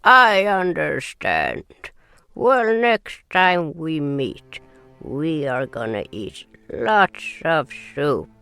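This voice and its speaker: A man's voice: fairly high pitch, very animated, vocal fry, bright, forceful tone, smooth, no echo, faint music behind, pitched above the performer's own voice, heavily acted. A man voicing a weary old amphibian creature in a fantasy audio story, talking in a plain, grumbling way with odd grammar. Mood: friendly. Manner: slow, plain, complaining talk with odd grammar.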